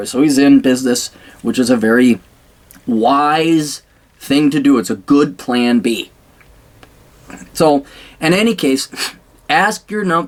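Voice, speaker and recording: A man talking straight to camera in a blunt, sarcastic rant, swearing freely and rambling with restarts. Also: fairly high pitch. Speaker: vlogger giving blunt career advice. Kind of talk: casual monologue to camera.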